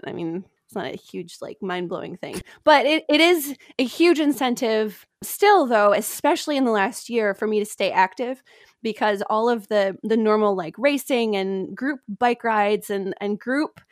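A woman's voice: mid-range voice, moderate pace, dry recording, clean studio sound. Giggly, enthusiastic woman guest. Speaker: podcast guest. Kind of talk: casual chat.

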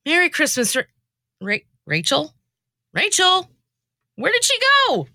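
A bright, high-pitched woman acting as a sleepy, exasperated heroine of a comedic radio play. Her delivery is dramatic and flustered, swinging widely in pitch, and the recording is dry and close. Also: huge pitch swings, measured pace, gravelly, no echo, exaggerated voice. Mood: confused. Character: flustered, sleepy, guilt-ridden woman haunted on Christmas night.

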